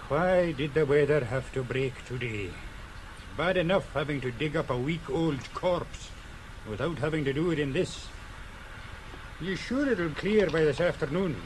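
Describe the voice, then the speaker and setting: A man's voice: fairly high pitch, noisy background, natural voice. An actor playing a weary, grumbling senior policeman with a dry manner, in radio drama style.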